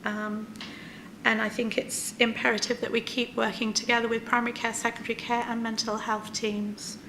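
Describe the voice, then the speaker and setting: A woman's voice: fairly high pitch, flat delivery, moderate pace, sharp tone, a little hiss or hum, poor audio. A woman presenting a report at a formal meeting, careful and hesitant, with frequent fillers. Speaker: clinical lead presenting a report.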